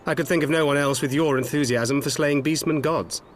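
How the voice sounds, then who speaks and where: An actor playing a roguish, witty adventurer in a fantasy game, with theatrical, self-mocking and refined phrasing. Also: male voice, on the high side, fairly even intonation, brisk, natural voice. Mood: wry, storytelling. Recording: music behind the voice.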